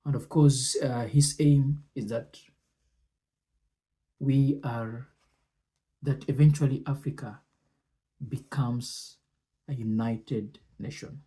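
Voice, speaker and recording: A man presenting and commenting on speech excerpts in a deliberate, measured way, often pausing between short phrases. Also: medium pitch, steady tone, dark tone.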